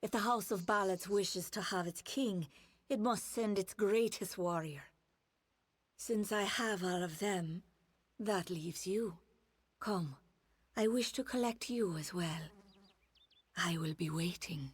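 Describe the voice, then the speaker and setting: A woman's voice: mid-range voice, slow pace, long pauses, breathy edge, no echo. A woman acting a seductive, scheming fae villain in a video game, with a haughty, silky, taunting manner. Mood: haughty menace.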